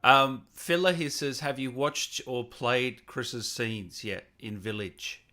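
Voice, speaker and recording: A male host with a bright, forceful voice runs a casual interview and reads viewer comments aloud. He keeps his own turns short, with quick interjections. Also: mid-range voice.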